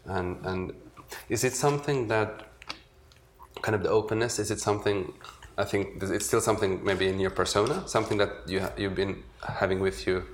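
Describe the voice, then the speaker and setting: Deep-voiced man, tentative interviewer. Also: slight background noise. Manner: tentative, halting, repeats words. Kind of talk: conversational interview questioning.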